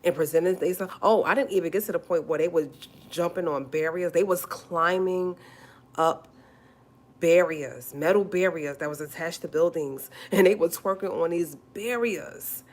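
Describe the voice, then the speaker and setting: A forty-year-old woman talks straight to her livestream viewers. Her voice sits very low, and she hammers her points home by repeating them. She calls listeners honey as she goes. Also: normal speed. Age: 40s. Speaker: livestream host. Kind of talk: casual livestream talk.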